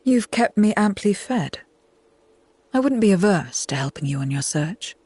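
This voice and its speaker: A man acting an aloof, terse wanderer in a video game cutscene, with a husky voice. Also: high-pitched, sing-song, moderate pace, a little mellow, dry recording, heavily acted. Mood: reserved, willing.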